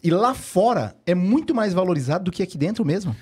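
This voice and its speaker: A man's voice: high voice, animated, fast talker, a little mellow, slightly rough. A Brazilian man hosting a podcast in a casual, enthusiastic, slangy way. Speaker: podcast host.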